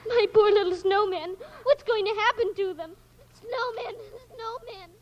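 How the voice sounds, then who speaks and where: On a dull old radio recording, a very high-voiced little girl. She is stubborn, melodramatic and plaintive, in 1940s radio drama style. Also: a little slow. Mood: heartbroken. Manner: plaintive, insistent.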